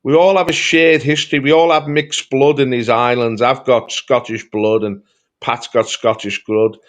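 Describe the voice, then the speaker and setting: A man's voice: mid-range voice, fairly even intonation, normal speed. A man with a Liverpool accent speaking as a political commentator in an impassioned discussion, emphatic and rhetorical, repeating phrases for effect. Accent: Liverpool Scouse. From Liverpool, England.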